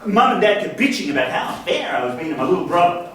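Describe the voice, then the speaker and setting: A man acting a bitter, middle-aged brother quarrelling in a stage drama, with halting, repeated words. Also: high voice, sing-song, a crowd in the background. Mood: bitter, heated. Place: echoey indoor space, far from the mic. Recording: very echoey room, distant mic, hiss.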